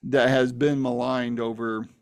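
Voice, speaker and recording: A man's voice: mid-range voice, flat delivery, measured pace, creaky, a little mellow, clean tone, dry recording. Chatty host man, dry close sound. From Phoenix, Arizona area. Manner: friendly, chatty, says "man" often. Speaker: interview host. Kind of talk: casual interview conversation.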